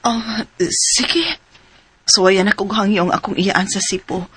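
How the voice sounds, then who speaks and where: An actress playing a poor, weary farming mother with a husky voice, in a radio drama. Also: mid-range voice, a little slow, fairly bright tone, slight background noise, close to an everyday voice. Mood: weary, reluctant.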